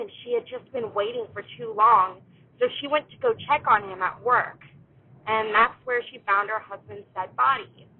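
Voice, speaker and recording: A woman's voice: fairly high pitch, husky. An American woman casually telling a ghost story as a listener contribution, on a phone-like recording. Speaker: listener storyteller, haunted tour guide.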